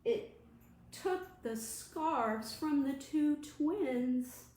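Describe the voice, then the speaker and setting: A woman children's librarian reading aloud to young children in a deliberate, expressive, sing-song way. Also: high-pitched. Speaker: children's librarian hosting storytime. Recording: roomy, echoing room sound.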